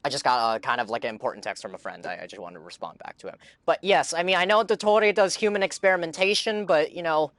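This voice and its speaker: A man's voice: a little high, expressive, quick pace, few pauses, a bit bright, no room sound. A man livestreaming a game, chatting casually and rambling as he muses aloud to his viewers. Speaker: game streamer. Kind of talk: casual livestream chat while playing.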